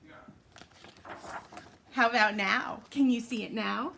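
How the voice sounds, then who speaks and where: A woman reads a picture book aloud to young children like a teacher, pausing to ask them questions and explain words simply. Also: on the high side, creaky, fairly bright tone, slight background noise. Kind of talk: picture-book read-aloud with chatty asides.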